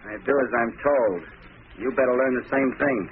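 A man's voice: medium pitch, fairly even intonation, normal speed, natural voice. Callous American gang henchman, played by a man, menacing and slangy, 1930s radio drama, narrow noisy recording. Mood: menacing, curt.

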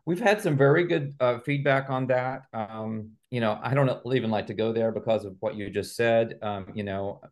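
A man's voice: mid-range voice, normal speed, hardly any pauses. A middle-aged man speaking as an interview guest, giving earnest, explanatory answers in a conversational way. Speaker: guest, author and healing practitioner.

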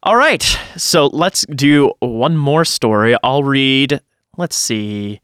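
A man chatting casually as a podcast co-host, joking in an animated way and swearing freely. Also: medium pitch, slow pace, clear, resonant tone, dry recording, studio quality. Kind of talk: casual chat.

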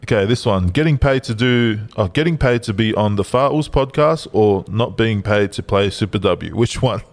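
A man's voice: fairly low pitch, expressive, normal speed. Laughing man reading questions. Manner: laughs often, reads questions aloud. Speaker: podcast co-host reading listener questions. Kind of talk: casual banter.

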